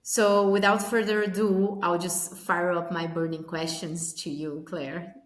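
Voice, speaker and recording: A woman's voice: lowish voice, level tone, unhurried, soft background music. Upbeat woman hosting in an echoey room. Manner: upbeat, reading out questions. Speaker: host. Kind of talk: interview hosting.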